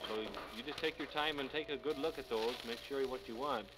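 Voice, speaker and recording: Mid-pitched 1950s radio man coaxing children. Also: background noise. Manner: halting, folksy, repeats answers and prompts. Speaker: radio announcer and interviewer. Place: toy store live broadcast. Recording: 1950s live radio recording.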